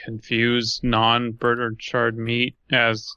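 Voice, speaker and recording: A man's voice: mid-range voice, completely flat delivery, slow pace, sharp tone, clear, resonant tone, no room sound. A man co-hosting a podcast, reading aloud with some stumbles and chatting casually on a slightly dull microphone. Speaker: podcast co-host. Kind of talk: casual read-aloud and banter.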